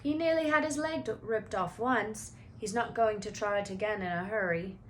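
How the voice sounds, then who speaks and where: A woman voicing an easygoing, reassuring schoolboy best friend from a storybook, with drawn-out, emphatic phrasing. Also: medium pitch, slight background noise, close to an everyday voice.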